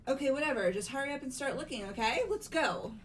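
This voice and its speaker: A woman playing an earnest, brisk teenage girl in a fan game dub, with a direct delivery. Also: high voice, lively intonation, loud music behind the voice. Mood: impatient. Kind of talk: amateur game voice acting.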